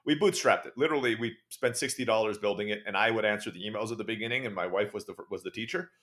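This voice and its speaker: A man with an American accent, guest on a podcast, telling jokey stories in a quick, casual way. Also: fairly low pitch, a bit bright. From Turkey. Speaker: guest, online school founder.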